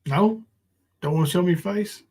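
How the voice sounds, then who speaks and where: High-voiced man hosting a casual livestream. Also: moderate pace, darkish tone, husky. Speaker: live panel stream host.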